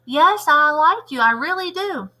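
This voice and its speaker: A woman's voice: sits high, animated, measured pace, pushed and bright, voice pushed up, full character voice. A woman voicing a teasing, upbeat male schoolyard ball keeper, coaxing and playfully insistent in a storybook.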